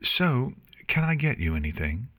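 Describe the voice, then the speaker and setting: A man's voice: medium pitch, very animated, moderate pace, a bit bright, no echo, voice pushed up, rough recording. A man voicing a polite, hospitable and forthright young pony mare in a storybook. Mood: gracious, cheerful.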